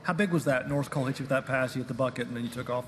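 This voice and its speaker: A man's voice: medium pitch, rapid-fire, long reverb tail, a little hiss or hum. A man asking a sports reporter's question from the floor of a press conference. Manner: asks a long question from the floor.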